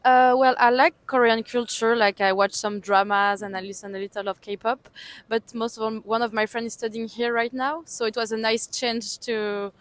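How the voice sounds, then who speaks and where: Calm young woman from France. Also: fairly high pitch, moderate pace. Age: 20s. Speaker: interviewee. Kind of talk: street interview answers. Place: outdoors on a street.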